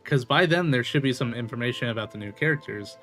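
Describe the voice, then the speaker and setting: A man's voice: mid-range voice, quick pace, a bit bright. A man streaming a video game, giving casual, playful commentary and half talking to himself. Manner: playful, talks to self. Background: game music.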